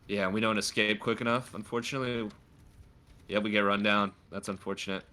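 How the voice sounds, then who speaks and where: Bright-voiced man coaching, stuffy nose. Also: on the low side, fairly even intonation, a little fast. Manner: chatty, lots of filler words. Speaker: game coach. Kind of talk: casual gameplay review.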